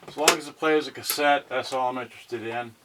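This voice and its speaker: A man's voice: mid-range voice, steady tone, brisk. A man with a mid-range voice hosts an off-the-cuff unboxing. He rambles as he thinks aloud and lets sentences trail away. The home recording carries light noise and handling clicks. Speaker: host of an electronics unboxing channel. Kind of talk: casual, unscripted unboxing chat. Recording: noisy home video with clicks.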